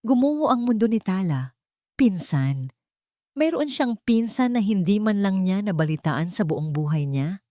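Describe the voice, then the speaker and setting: A woman's voice: mid-range voice, animated, measured pace, dark tone, dry recording, clean studio sound. A woman reading a scripted romantic drama as a storyteller. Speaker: narrator.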